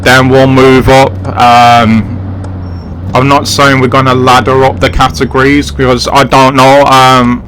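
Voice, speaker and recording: An English man hosts a gaming video in a casual, rambling way. His delivery is unhurried and his pitch stays fairly flat at a middling height. Vehicle hum and engine noise run clearly behind his voice. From England. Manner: chatty, rambling, addressing viewers directly. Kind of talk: casual gameplay commentary. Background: driving game vehicle sounds.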